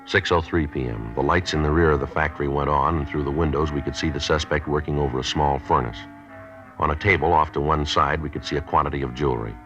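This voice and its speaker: A businesslike American detective in a vintage radio drama. His voice is extremely deep and his delivery quick and matter-of-fact. The old recording is dull, with music behind. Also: fairly even intonation, fairly bright tone. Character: terse, businesslike male police sergeant, posing as buyer. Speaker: police detective narrator. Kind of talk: old-time radio crime drama acting and narration.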